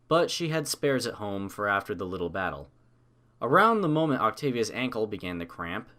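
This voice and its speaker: A man's voice: fairly low pitch, sharp tone. A man giving a scripted narration of a story as an audiobook narrator.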